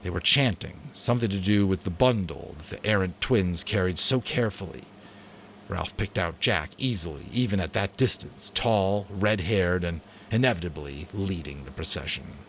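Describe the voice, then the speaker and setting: A man's voice: sits low, expressive, moderate pace, a bit bright, slight background noise. A man giving a dramatic audiobook reading of a novel, sometimes stumbling and rereading a line. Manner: stumbles and rereads lines, stops to redo a take. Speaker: amateur voice actor reading a novel.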